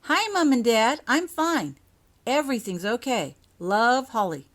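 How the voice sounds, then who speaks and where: A woman's voice: fairly high pitch, animated, a little slow, voice pushed up. A woman voicing a young girl who happily reassures her parents in a storybook. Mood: cheerful, reassuring.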